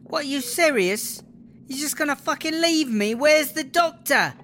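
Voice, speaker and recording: A woman's voice: sits high, lively intonation, unhurried, a bit bright, a little hiss or hum. A woman playing an injured, foul-mouthed British prison inmate in an audio drama, groaning in pain and exasperated. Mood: furious, pained. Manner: sweary, exasperated, sarcastic.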